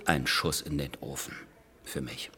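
A patronising man in charge, in a radio play. His voice is deep and husky, and he sets out his words deliberately, with theatrical pauses and pompous condescension. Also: fairly even intonation, sharp tone, whispered, full character voice. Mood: cool disappointment. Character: condescending male client, pompous, bluntly disappointed boss. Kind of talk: radio drama dialogue.

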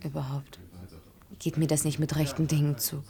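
An actress playing a blunt, sceptical, disillusioned sweetheart in a German radio play. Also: female voice, low-pitched, little pitch movement, moderate pace, dark tone, slightly rough, full character voice. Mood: bitter, defiant. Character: disillusioned, blunt young woman, a wronged sweetheart.

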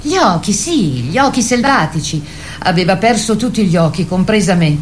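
A grown wild goose acted by a woman in a theatrical radio play, reassuring and a little dreamy. Her voice is mid-pitched and musing. Faint noise runs underneath. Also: animated, moderate pace, close to an everyday voice. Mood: calm, explaining. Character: adult female wild goose Barbara, calm, guiding, dreamy.

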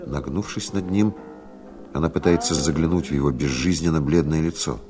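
A man's voice: a bass voice, a little fast. A man narrating a 1970s radio drama in a scripted, stage-direction style. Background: music.

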